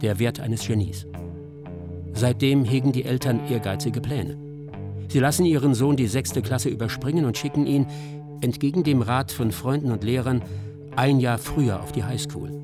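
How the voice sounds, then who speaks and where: A man reading scripted documentary narration in a sober, measured, articulate manner. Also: medium pitch, music in the background.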